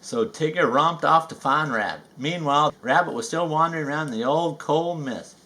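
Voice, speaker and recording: A man reading a children's story aloud with folksy, chatty asides and drawn-out emphasis. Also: fairly high pitch, pushed and bright. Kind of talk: animated read-aloud narration with chatty asides.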